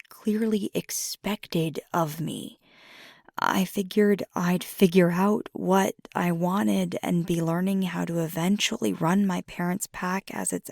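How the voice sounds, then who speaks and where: A woman playing a young, earnest werewolf girlfriend in an intimate ASMR roleplay, soft-spoken and hesitant, with a husky, breathy voice. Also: on the low side, dry recording, clean studio sound, full character voice. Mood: hesitant, confiding. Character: fiery young female werewolf girlfriend, earnest, ambitious, vulnerable.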